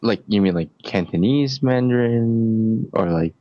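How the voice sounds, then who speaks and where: A young man in his twenties answering interview questions casually, pausing often with fillers. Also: on the low side. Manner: hesitant, many fillers and restarts. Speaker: interviewee, nursing student. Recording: dull, dry lo-fi sound.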